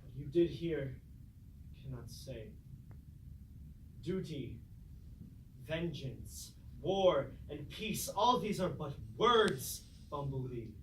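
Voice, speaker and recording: A man playing a weary, disillusioned robot warrior in a staged tragedy, subdued, halting and solemn. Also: on the high side, animated, slight background noise. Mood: bleak, resigned. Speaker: stage actor. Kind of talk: staged reading of mock Greek tragedy. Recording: very distant, echoey stage recording.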